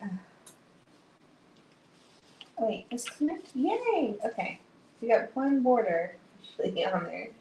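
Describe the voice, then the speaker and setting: Slightly hoarse woman streamer. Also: a little high, normal speed, reverberant, a little hiss or hum, low-quality recording. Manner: chatty and excitable, talking to viewers. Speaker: livestream host. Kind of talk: casual chat while doing a jigsaw puzzle.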